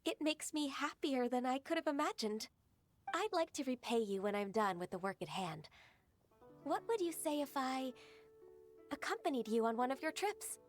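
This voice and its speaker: Dutiful, gentle game heroine: higher register, courteous and sincere, faint music underneath. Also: female voice, very animated. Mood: grateful, shy. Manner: soft, polite, earnest, measured. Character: gentle, dutiful half-adeptus female secretary, long-lived. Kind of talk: video game voice acting.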